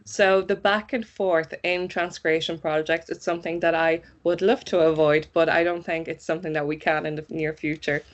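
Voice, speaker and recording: A woman who grew up speaking Polish and English, a localization specialist chatting as an interview guest in a relaxed, friendly way. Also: low-pitched, moderate pace, few pauses, lo-fi sound. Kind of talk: casual interview chat.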